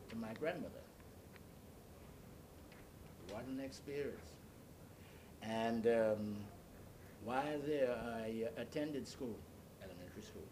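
Older man reminiscing, noisy recording. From Bahamas. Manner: storytelling, halting, searching for words. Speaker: interviewee. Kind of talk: oral history reminiscence. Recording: clearly noisy, low rumble behind.

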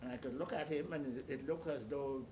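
Older male interviewee, halting storyteller, mid-pitched voice, noticeable rumbling noise on the recording. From Bahamas. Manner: storytelling, halting, searching for words. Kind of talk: oral history reminiscence. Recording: clearly noisy, low rumble behind.

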